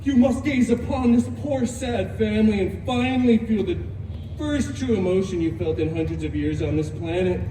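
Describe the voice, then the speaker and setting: Wisecracking male antihero played by an actor, very high voice, teasing and theatrical, echoey live park show. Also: mellow, recorded from a distance, a little hiss or hum, lightly acted. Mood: mock-dramatic teasing. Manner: mock-dramatic, chatty, teasing, drawn-out delivery. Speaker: costumed theme park character performer. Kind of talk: live comedic stage storytelling. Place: theme park live show, heard from audience.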